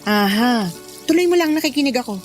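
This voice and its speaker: A woman's voice: a little high, animated, brisk, close to an everyday voice. A woman with a Filipino accent playing a blunt, caring older co-worker in a radio drama, prodding and exclaiming as she talks. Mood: curious. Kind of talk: Tagalog radio drama acting. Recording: music bed under the dialogue.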